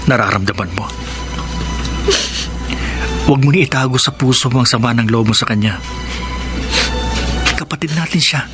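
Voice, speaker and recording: A man plays an older brother in a radio drama, the family peacemaker. His mid-range voice is earnest and measured as he counsels, with music behind him. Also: mid-range voice, lightly acted. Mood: earnest, consoling. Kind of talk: Tagalog radio drama acting.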